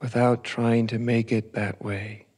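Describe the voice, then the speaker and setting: A male meditation teacher guides listeners with a soft, relaxed tone. His pitch stays very even, and he moves slowly through short phrases, leaving long, unhurried pauses between them. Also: slightly rough.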